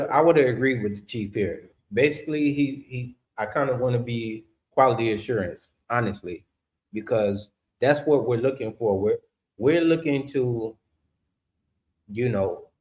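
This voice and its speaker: A man's voice: mid-range voice, expressive, measured pace, long pauses, a little mellow. A man explaining his group's aims hesitantly, with many fillers. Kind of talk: explaining viewpoint.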